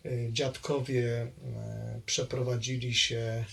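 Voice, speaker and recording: An older man recalling his family history in a halting way, full of pauses and hesitations. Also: medium pitch, little pitch movement, creaky, a bit bright. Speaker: interviewee, longtime local resident. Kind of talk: oral history recollection.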